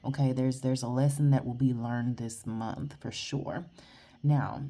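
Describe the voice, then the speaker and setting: A woman's voice: extremely low pitch, steady tone, unhurried, mellow. A woman tarot reader, blunt and chatty, giving a rambling casual reading outdoors while congested and sniffly. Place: outdoors in the early morning.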